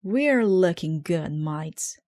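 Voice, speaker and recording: A woman's voice: fairly low pitch, lively intonation, takes it slowly, darkish tone. A woman voicing a bossy, eager young male mouse who captains a baseball team in a storybook, exclaiming his orders. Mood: upbeat, proud. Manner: commanding, exclaiming.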